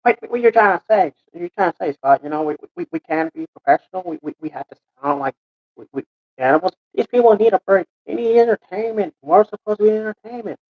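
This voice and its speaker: Man putting on an excitable, high-pitched bossy guy. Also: fast talker, narrow, tinny audio. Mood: indignant insistence. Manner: stammering, insistent, repeating words. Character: excitable, bossy, earnest comic sketch character.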